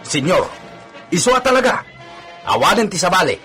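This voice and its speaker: A man's voice: high voice, big pitch swings, normal speed, over music. A man acting a servile, eager henchman to a rich master in a radio drama. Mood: deferential. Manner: obedient, eager, deferential.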